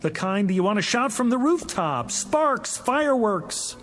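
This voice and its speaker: An actor playing a lovesick, desperate, impetuous suitor, eager and effusive, in a vintage television drama. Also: male voice, high-pitched, sing-song, measured pace, a bit bright, faint music behind, heavily acted. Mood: excited.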